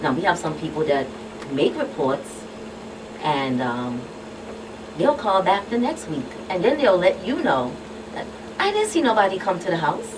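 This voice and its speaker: A woman with a low voice and a Caribbean accent explains things plainly and emphatically as an expert guest on talk radio. She sounds set back from the mic, with clear noise around her. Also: sing-song, normal speed. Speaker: guest expert, child protection official. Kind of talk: live radio talk show discussion. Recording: distant mic, clearly noisy background.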